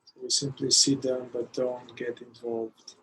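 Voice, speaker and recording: A man leading a guided meditation, giving soothing, unhurried instructions with long pauses between phrases. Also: medium pitch, flat delivery, fairly bright tone, slight background noise, low-quality recording.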